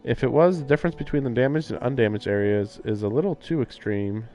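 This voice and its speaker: A man's voice: medium pitch, fast, warm tone, highs cut off. A man livestreaming a visual novel, casually reading narration aloud and adding sardonic, incredulous asides. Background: game music.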